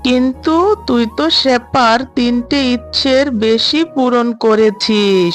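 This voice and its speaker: A woman's voice: a little high, slow. A woman voicing a sly, greedy tigress neighbour in a cartoon, wheedling and bossy in manner. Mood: greedy, demanding. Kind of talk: cartoon character dubbing. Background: background music.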